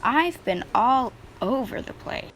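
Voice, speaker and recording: A woman's voice: on the high side, lively intonation, slow pace, fairly bright tone, exaggerated voice, low-quality recording. A woman playing a friendly young girl who is new in town, in an amateur audio drama. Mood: friendly, chatty. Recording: faint noise and clicks.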